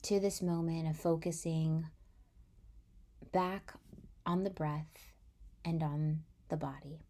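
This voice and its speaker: A woman leads a guided meditation at a very slow pace. Her voice is slightly low and mellow, soft and breathy at the ends of phrases. She speaks gently and soothingly, leaving long pauses. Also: steady tone. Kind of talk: guided body-scan meditation.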